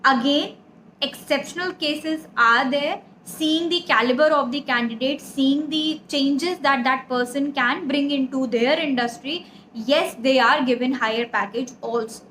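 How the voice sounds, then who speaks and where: A woman's voice: high voice, measured pace, a bit bright. A young woman with an Indian accent giving career advice in an earnest, explanatory way, addressing listeners directly. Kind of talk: advisory talk to camera. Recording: echoey room, audible background noise.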